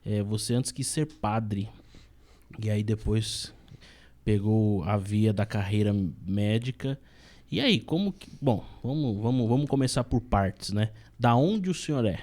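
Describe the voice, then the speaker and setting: A man's voice: fairly low pitch, animated, measured pace, a little mellow. Upbeat young male podcast host. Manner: upbeat, promotional. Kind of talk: casual podcast hosting.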